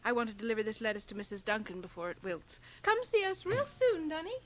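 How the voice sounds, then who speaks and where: High-voiced, artless, chatty village wife. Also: female voice, big pitch swings, fast talker. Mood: bright, kindly. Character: gentle, generous, scatterbrained village wife, simple and childlike. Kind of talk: radio drama acting. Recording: hissy home cassette transfer of radio drama.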